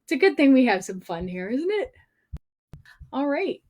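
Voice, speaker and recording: High-pitched, playful woman storyteller for children. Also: very animated, normal speed, darkish tone. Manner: playful, exaggerated expression, addressing children directly. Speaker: children's storyteller and singer hosting a livestream. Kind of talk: animated read-aloud and chat for young children.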